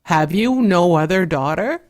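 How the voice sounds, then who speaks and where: A woman's voice: fairly low pitch, animated, measured pace. A woman voicing a resolute young fairy-tale prince who speaks in formal, old-fashioned declarations. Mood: insistent.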